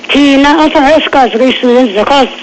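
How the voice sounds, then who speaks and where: A woman's voice: high-pitched, rapid-fire. A woman with an Eastern Cape South African accent, explaining things on the phone firmly and matter-of-factly, mixing in English. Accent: South African Xhosa-speaking, Eastern Cape. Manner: matter-of-fact, firm, mixes in English. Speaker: municipal employee taking a call. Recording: very noisy phone call over radio.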